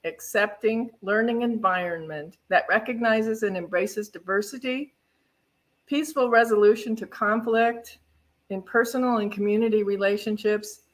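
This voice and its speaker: A woman's voice: mid-range voice, moderate pace. A woman minister hosting a spiritual service with casual announcements and chatty, giggly asides.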